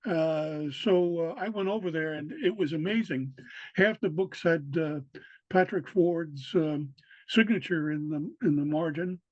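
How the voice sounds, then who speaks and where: Older New man, halting speech. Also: a little high, no echo. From New York City. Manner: halting, many fillers and restarts. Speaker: interviewee, local historian. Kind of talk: casual recorded interview. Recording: dry, dull lo-fi sound.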